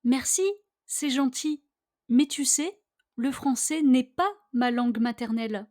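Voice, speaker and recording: A woman with a high voice reads scripted lines for a language lesson. She goes very slowly, phrasing each line with care so that learners can repeat it. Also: darkish tone. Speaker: language teacher. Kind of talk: scripted dialogue read slowly for listen-and-repeat practice.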